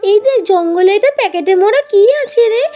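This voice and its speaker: A woman voicing an excitable, curious little girl in a children's doll cartoon, with an eager sing-song manner. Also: very high-pitched, quick pace, pitched above the performer's own voice. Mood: curious, excited.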